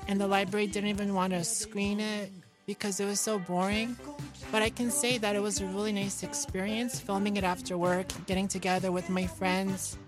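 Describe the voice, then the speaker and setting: Woman from New York, interview guest, medium pitch, simple self-deprecating chat, faint music behind. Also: flat delivery, moderate pace. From New York, United States. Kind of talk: casual chat.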